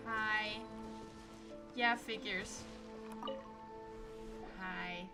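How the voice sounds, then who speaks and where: A woman's voice: mid-range voice, fairly even intonation, very slow. A woman live-streaming a video game, making casual, playful commentary in short offhand reactions. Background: game music.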